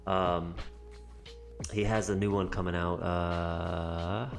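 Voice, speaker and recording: A man's voice: deep voice, flat delivery, slow, vocal fry, faint music behind. A man co-hosting a podcast, chatting casually and rambling with lots of ums as he searches for words. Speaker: podcast co-host. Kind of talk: casual chat.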